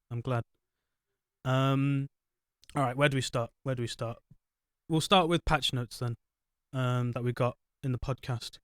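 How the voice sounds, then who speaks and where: A man hosting a casual podcast who talks in a loose, rambling way, filling pauses with "um." His voice is smooth and clear. The mic is close and dry, with no room sound. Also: medium pitch, no room sound. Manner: chatty, apologetic, rambling with fillers. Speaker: podcast host.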